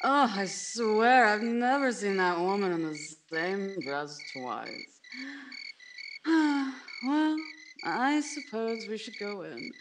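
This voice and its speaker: A woman's voice: mid-range voice, very animated, slow, music in the background, poor audio. A woman playing a chatty, fussy, bossy suburban wife in an old-time radio drama. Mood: gushing. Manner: gushing, fussy. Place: indoors at home, video call setup.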